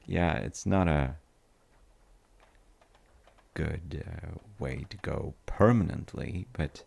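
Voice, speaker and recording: A man playing a gentle, reflective dad in an intimate audio roleplay, musing softly and reassuringly to a tired child with thoughtful pauses. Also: heavily acted. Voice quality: gravelly, creaky low voice. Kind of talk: soft intimate sleep-aid audio roleplay.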